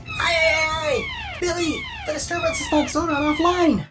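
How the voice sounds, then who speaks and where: A man playing an anxious, excitable little robot assistant in an audio drama, panicky and exclaiming. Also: very high voice, a little slow, raised voice, music in the background, full character voice. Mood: panicked.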